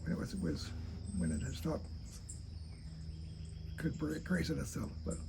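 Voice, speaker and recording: A man reads a childhood memoir aloud in a halting, deliberate way, stumbling now and then. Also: a little high, big pitch swings, vocal fry, background noise. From rural Kentucky, United States. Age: 80s. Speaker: storyteller reading own memoir.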